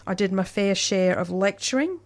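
A woman's voice: mid-range voice, animated, moderate pace. A woman hosting a conversation, asking her guest questions in a curious, conversational way. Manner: inquisitive, conversational. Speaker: host. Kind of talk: interview conversation.

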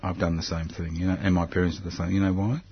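A man's voice: low-pitched, little pitch movement, quick pace, highs cut off, a little hiss or hum. An older man, chatting casually and teasing his guest with wry humor. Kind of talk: casual conversation.

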